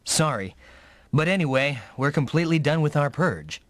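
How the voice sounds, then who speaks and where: Actor as an eager young rookie pilot, animated. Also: male voice, on the high side, moderate pace, dry recording, natural voice. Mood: businesslike. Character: eager young male rookie mech pilot, dutiful peacemaker. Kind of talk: anime-style video game voice acting.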